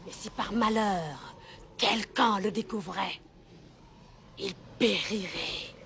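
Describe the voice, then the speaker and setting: A woman voicing a menacing, imperious sorceress in an animated film, commanding and ominous. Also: mid-range voice, slow, vocal fry, noisy background.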